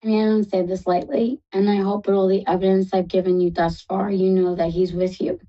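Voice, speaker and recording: A woman with a New York accent hosting a live psychic call-in show, talking fast and reassuring callers affectionately. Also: mid-range voice, level tone, lo-fi sound. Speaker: psychic radio host.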